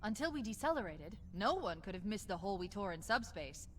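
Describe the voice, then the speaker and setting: An actress playing a cool, witty, loyal shipboard AI, composed and precise, in a video game cutscene. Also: female voice, medium pitch, big pitch swings, fairly quick, noisy background, close to an everyday voice. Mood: cool, factual. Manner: composed, precise, dryly teasing.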